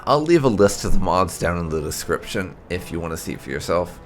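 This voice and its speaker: A man hosting a gaming let's play, talking casually in deliberate, halting phrases. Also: low-pitched, very animated, soft background music. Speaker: host of a gaming channel.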